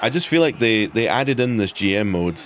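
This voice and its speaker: Low-voiced Edinburgh Scottish man streaming. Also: animated, fairly quick, background noise. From Scotland. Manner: chatty, rambling, frequent restarts and laughs. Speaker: streamer playing a wrestling game. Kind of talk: casual live commentary on gameplay.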